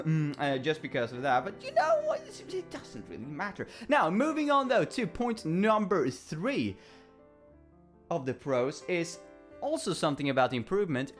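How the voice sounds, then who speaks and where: A man with a Swedish accent talks through his thoughts in a loose, rambling way, stopping to correct himself and leaning on ums and uhs. His voice sits a little high for a man. Soft music plays faintly underneath. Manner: rambling, self-correcting, lots of fillers and restarts. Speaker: vlogger. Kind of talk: casual talking-head reflection.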